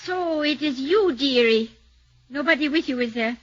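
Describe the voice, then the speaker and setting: Bitter scarred lady in a veil, rough and sly, high bright voice, old muffled radio sound. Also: expressive, normal speed, noisy background. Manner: wheedling, coarse, sly. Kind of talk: 1940s radio acting. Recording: dull lo-fi old radio broadcast.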